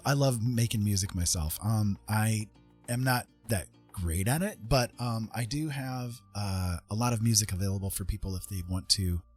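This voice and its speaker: A man's voice: on the low side, husky. A man hosting a casual art livestream, talking in a friendly, welcoming, rambling way. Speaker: livestream host, digital artist. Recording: music playing behind the voice. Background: music.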